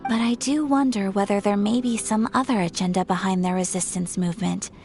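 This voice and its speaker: A woman playing a composed young noblewoman in a game, speaking in formal, measured, courtly phrases over faint game music. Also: medium pitch, fairly even intonation, darkish tone, natural voice. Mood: grave. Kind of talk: game cutscene voice acting.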